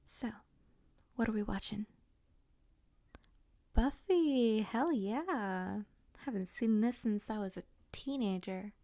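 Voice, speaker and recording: Woman acting a slow, creaky, doting best friend. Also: medium pitch, dark tone, no echo. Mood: chatty, relaxed. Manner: affectionate, teasing, rambling, slangy. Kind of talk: intimate audio roleplay, casual one-sided chat.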